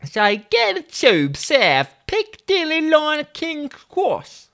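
A man voicing a cheeky, streetwise thirteen-year-old paperboy in a high-pitched voice. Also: huge pitch swings, slow pace, pushed and bright, exaggerated voice.

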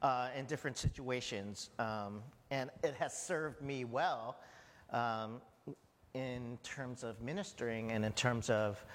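A man's voice: mid-range voice, steady tone, takes it slowly, a bit bright. A middle-aged American man preaching a sermon as pastor, talking conversationally with frequent "right?" asides. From United States. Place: church hall. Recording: long hall reverb.

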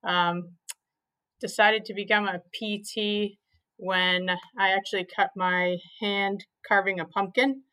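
Flat-toned woman therapist from Maine. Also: mid-range voice, slow. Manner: plain, many "um"s, simplifies explanations. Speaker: physical therapist guest. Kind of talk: interview answers, explanatory.

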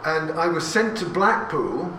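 A man's voice: a little high, sing-song, unhurried, bright, forceful tone. Chatty man addressing an audience in an echoey hall. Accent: English. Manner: chatty and anecdotal, with asides and questions to the audience. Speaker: speaker giving a talk. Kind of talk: talk to a live audience about family history. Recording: echoey room recorded from a distance, with audience noise.

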